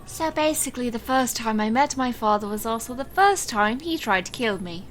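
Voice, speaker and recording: A resolute, guarded woman magician, played by an actress in an audio drama. Her voice sits a little high and she speaks in a grave, measured way. Faint noise runs under the recording. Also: animated, lightly acted. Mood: reluctant, confessional. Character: resolute, guarded woman stage magician facing her murderous birth father.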